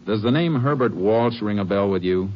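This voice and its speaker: An actor plays a steady Texas Ranger with a low, calm, clipped delivery. The recording is a dull, faintly hissing old radio broadcast. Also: male voice, moderate pace, close to an everyday voice. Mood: firm. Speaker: narrator and lead. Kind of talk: 1950s radio drama acting.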